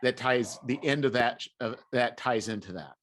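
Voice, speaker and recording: A man's voice: medium pitch, normal speed, fairly bright tone, a little hiss or hum. A man in a casual podcast conversation, explaining his opinions in an informal way. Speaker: podcast speaker discussing film franchises. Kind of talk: casual chat.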